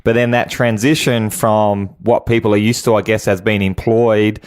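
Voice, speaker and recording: A man's voice: lowish voice, steady tone, moderate pace, smooth. A man giving earnest, exhorting motivational advice as an interview guest. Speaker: guest business coach.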